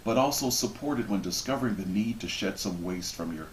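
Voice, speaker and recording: A man reading a book passage aloud expressively, with measured, deliberate phrasing and dramatic pauses. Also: fairly low pitch, expressive. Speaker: reader of a book introduction. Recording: roomy echo with background noise.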